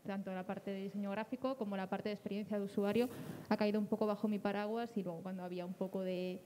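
A woman with a mellow, mid-pitched voice introduces herself informally at a talk. She speaks quickly. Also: fairly even intonation, slight background noise, rough recording. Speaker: panelist, communications manager. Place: small theatre stage, panel table.

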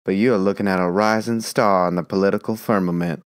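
A woman's voice: a bass voice, fairly even intonation, fast, clear, resonant tone, pitched below the performer's own voice. A woman voicing a boastful, scheming man who talks in a brash, folksy way.

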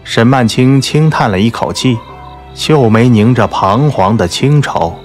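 A man's voice: mid-range voice, lively intonation, measured pace, faint music behind. A man narrating a novel as a scripted literary audiobook.

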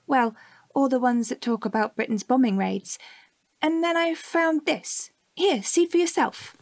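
A woman playing a bright, earnest young child in an audio drama, eagerly and precisely recounting things to a parent. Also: sits high, unhurried. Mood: curious.

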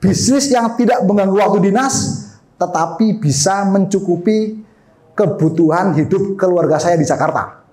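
A middle-aged man giving an energetic business seminar talk into a handheld microphone in a meeting hall, gesturing and questioning his audience. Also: sits high, normal speed. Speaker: seminar speaker.

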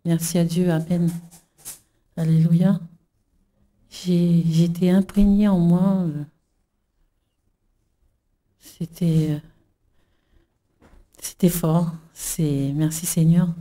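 Slow, very dark-voiced woman testifying. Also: on the low side, fairly even intonation. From New Caledonia. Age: middle-aged. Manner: halting, many fillers and restarts. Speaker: church member giving testimony. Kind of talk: emotional religious testimony.

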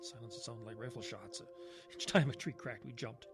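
A man playing a haunted woodsman survivor in a horror audio drama, telling his tale in a halting, dramatic way with swelling emphasis. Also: mid-range voice, steady tone, over music, natural voice. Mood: grim, tense. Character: haunted male woodsman survivor recounting a terror.

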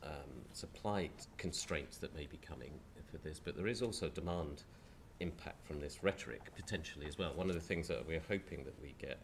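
Man chairing a panel, very low croaky voice with a cold, faint hiss behind, hesitant restarts. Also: fairly quick, hardly any pauses. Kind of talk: panel discussion. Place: lecture hall with audience.

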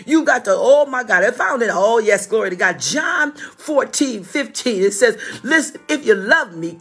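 Husky-voiced preacher in a fervent sermon. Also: medium pitch, sharp tone. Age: middle-aged or older. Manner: punctuates speech with repeated devout exclamations and calls to listeners; emphatic, repeats phrases for effect.

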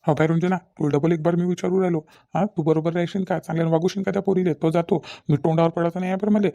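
A man's voice: on the high side, steady tone, fast talker, gravelly, dark tone. A man playing a distrustful middle-aged village father-in-law in a cartoon comedy, nagging sceptically and repetitively with a Vidarbha Marathi accent. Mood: stern warning. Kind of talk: cartoon family comedy dialogue.

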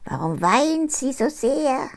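A sly little goblin man from a storybook, speaking in a high, thin, gravelly voice, as an old woman reading aloud puts him on. His pitch bounces up and down. He sounds scheming and eager as he strikes his bargains. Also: very animated, slow, full character voice. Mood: sly bargaining.

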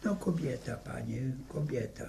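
An actor playing a gentle, rambling old village father-in-law in a 1960s Polish radio drama, muttering and repeating his words hesitantly. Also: medium pitch, animated, normal speed, vocal fry. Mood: hesitant, hospitable. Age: older. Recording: noisy vintage radio recording.

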